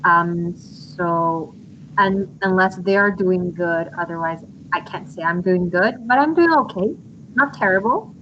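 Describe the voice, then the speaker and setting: A woman in her twenties, a guest speaking earnestly and hesitantly over a video call, pausing often on ums. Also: on the low side, a bit bright, lo-fi sound, slight background noise. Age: 20s. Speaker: interview guest.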